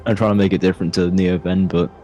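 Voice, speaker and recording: A man with a low voice narrating a tabletop game as game master and chatting casually between descriptions. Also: little pitch movement, fast talker, highs cut off. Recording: soft video game music behind. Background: soft video game music.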